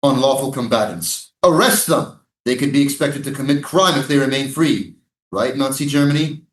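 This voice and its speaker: An actor playing an outraged, sardonic prisoner under interrogation in a staged play reading, exclamatory and sarcastic. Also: male voice, mid-range voice, normal speed, lightly acted. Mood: outraged, mocking. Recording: roomy, echoing stage sound.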